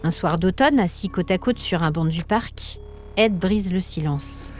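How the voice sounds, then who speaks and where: A woman narrating a scripted story podcast in a measured, suspenseful, dramatic way, with short weighted phrases. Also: fairly low pitch. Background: music.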